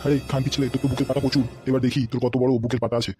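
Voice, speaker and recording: Man voicing a blustering, rapid-fire cartoon boss. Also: medium pitch, flat delivery, a little mellow, soft background music, natural voice. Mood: furious. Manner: blustering, threatening, constantly cursing. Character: bullying, hot-tempered male local politician boss, a father. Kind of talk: comedy cartoon dialogue.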